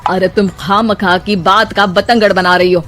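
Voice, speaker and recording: A woman's voice: medium pitch, fairly even intonation, normal speed, over music, natural voice. Casting: a woman as a laid-back cartoon husband, casual, brushing things aside. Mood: dismissive. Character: easygoing, dismissive husband, adult son of the household. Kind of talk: animated story character acting.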